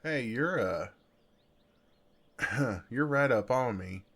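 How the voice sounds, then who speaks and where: A man with a Southern US accent playing a gentle, flirtatious farmhand in an intimate ASMR roleplay, with an easygoing, folksy, soothing drawl. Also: medium pitch, lively intonation, very slow, creaky, full character voice. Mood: tender, reassuring.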